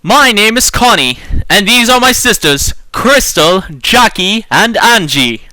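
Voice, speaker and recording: Guy doing a pushy bad girl horse from a kids book, brash, high sharp voice. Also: lively intonation, a little slow, no echo, exaggerated voice, poor audio. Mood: inviting. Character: pushy bad-influence eldest female horse.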